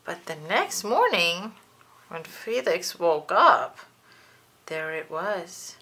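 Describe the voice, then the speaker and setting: A woman reading a children's story aloud in an expressive, dramatic and deliberate narration style. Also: on the low side, breathy edge. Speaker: storybook reader.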